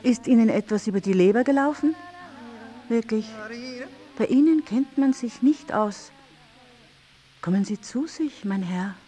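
A woman's voice: on the high side, animated, unhurried, pauses often, dark tone. An actress playing a blunt, reproachful lady in an acted literary miniature, chiding in articulate phrases. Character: reproachful, blunt Viennese lady companion of a gentleman.

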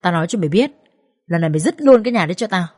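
A woman's voice: fairly low pitch, animated, rapid-fire, a little mellow, no room sound, studio quality. A fiery young woman acted out by a female storyteller speaks bluntly and emphatically. She is coarse and bossy but fiercely loyal, in the manner of audio-story drama. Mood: furious.

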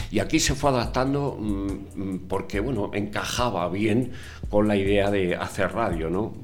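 An older man speaks slowly and thoughtfully, pausing often as he answers interview questions. Faint music plays quietly behind him. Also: low voice. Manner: unhurried, hesitant with many fillers. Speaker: interviewee, community radio veteran.

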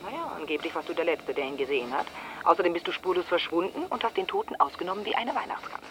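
Sardonic, animated woman on a call. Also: fairly low pitch, quick pace, faint music behind, low-quality recording. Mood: dryly mocking. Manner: dry, mocking, ironic. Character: sardonic, teasing workplace confidant on the phone. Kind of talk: naturalistic radio drama acting.

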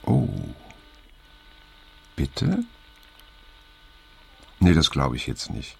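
An actor playing a weary, reflective older man in an introspective audio drama, with a gravelly voice and an unhurried manner. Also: very deep voice, huge pitch swings, darkish tone, a little hiss or hum, full character voice, poor audio. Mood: bewildered. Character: weary, unsettled older man looking back on his youth. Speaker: narrator and main character. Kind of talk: introspective audio drama narration and phone dialogue.

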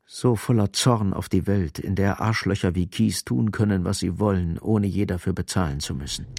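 A man narrating a radio drama in a grave, measured, scripted style. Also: sits low, gravelly, a little mellow, no echo.

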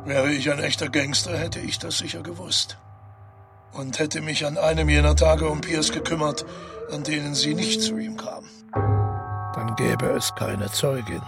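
An actor playing a weary, solitary murderer who calmly recalls his deed in a reflective radio drama monologue. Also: on the high side, a little slow, music in the background. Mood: brooding, matter-of-fact. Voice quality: husky, gravelly.

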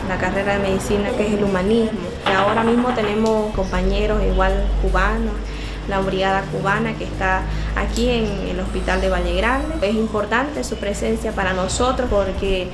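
A woman's voice: medium pitch, steady tone, moderate pace. A woman working in medicine, speaking earnestly in an interview testimony. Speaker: medical worker. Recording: echoey room, music underneath. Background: music.

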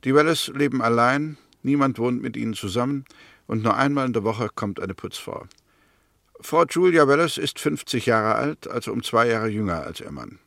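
An actor playing a courteous English crown prosecutor in a radio play, laying out a case formally, deliberately and precisely. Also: male voice, mid-range voice, expressive, creaky, a bit bright, no echo, studio quality. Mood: methodical, composed. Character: methodical, courteous male English crown prosecutor, coolly arguing. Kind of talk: scripted radio drama acting.

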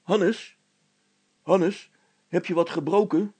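Man playing excitable storybook mayor, high, raspy, fussy, hesitant, repetitive, squeamish. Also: slow, dry recording, heavily acted. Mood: alarmed. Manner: hesitant, exclaiming, repeating himself.

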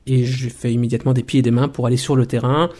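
A man in his forties, a documentary maker and former journalist, telling his story in a radio interview with thoughtful pauses and restarts. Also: mid-range voice, flat delivery, dark tone. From Belgium. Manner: thoughtful, many hesitations and restarts.

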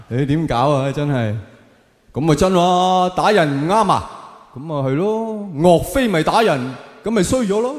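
A male stand-up comedian with a Hong Kong Cantonese accent and a slightly high voice. He delivers his monologue sardonically and theatrically, prodding with rhetorical questions. The sound is echoey, with long hall reverb.

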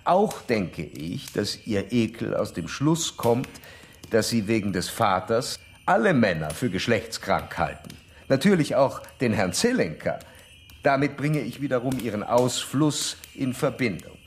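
A man's voice: mid-range voice, very animated, measured pace, a little hiss or hum. An actor playing a pompous male psychoanalyst in a radio drama, lecturing a patient in formal, pedantic, insistent terms. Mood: clinical, pedantic.

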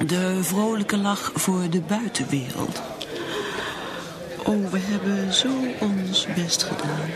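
An actress with a Netherlands Dutch accent playing a lonely, bitter middle-aged mother, a drinker in denial, brooding and repeating herself in radio drama. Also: lowish voice.

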